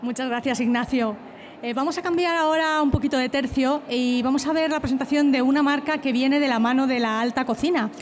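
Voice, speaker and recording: A woman moderating an industry event, introducing each speaker briskly. Her voice is notably high. Light background noise surrounds her. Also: fairly even intonation.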